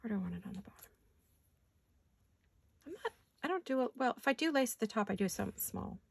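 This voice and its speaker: A woman with a raspy voice from allergies, chatting casually to viewers as she crafts in her home studio, often talking to herself and slipping into whispers. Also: fairly high pitch, lively intonation, fast talker. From California. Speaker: craft vlogger. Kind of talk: casual craft chat while working.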